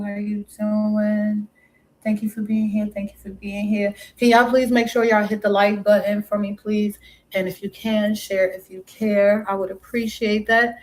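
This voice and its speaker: A woman's voice: mid-range voice, a little mellow, poor audio. Casting: woman live streamer, mixed New York and West Indian accent, chatty and friendly, mid-range voice. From Brooklyn, New York. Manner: chatty, greets viewers by pet names. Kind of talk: casual live stream chat.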